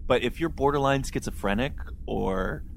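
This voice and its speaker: A man's voice: mid-range voice, moderate pace, creaky, slight background noise. A man co-hosting a podcast, talking casually about a book in a candid, self-deprecating, rambling way. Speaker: podcast co-host. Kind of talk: casual book discussion chat.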